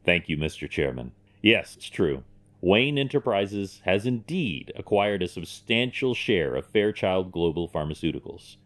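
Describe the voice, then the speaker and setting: A man acts out a stern billionaire vigilante for an audio drama. His voice sits very low, yet it moves with lively intonation as he delivers measured, deliberate speeches with principled resolve. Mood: formal, assured.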